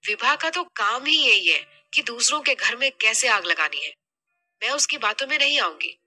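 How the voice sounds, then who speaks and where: A woman's voice: on the high side, animated, moderate pace, bright, forceful tone, heavily acted. A woman voicing an anxious, trusting middle-aged mother in an audio drama, musing worriedly to herself.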